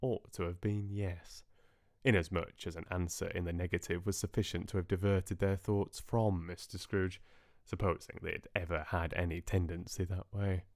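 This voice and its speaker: A man reading classic literature as an audiobook narrator, with expressive, dramatic storytelling. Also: sits low, normal speed, no echo, clean studio sound.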